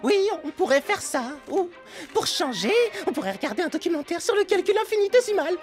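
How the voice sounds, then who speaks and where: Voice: very high-pitched, very animated, fairly quick. An actor voicing a nerdy, easily exasperated young microbe inventor in a French cartoon dub, pedantic and precise. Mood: matter-of-fact. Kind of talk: French-dubbed cartoon acting. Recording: cartoon music behind.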